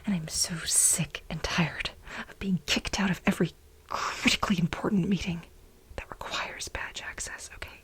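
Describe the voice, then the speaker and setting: A woman playing a frantic, aggrieved female assistant in a soft-spoken ASMR role play, breathy and mostly whispered, with pleading, stammering, melodramatic repetition. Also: fairly low pitch, fairly even intonation, moderate pace, close to an everyday voice, rough recording. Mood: fed up, indignant.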